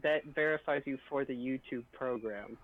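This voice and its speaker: A man's voice: mid-range voice, moderate pace. A man chatting casually on a group livestream, explaining things to the others. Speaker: stream co-host. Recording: dull, lo-fi voice chat audio.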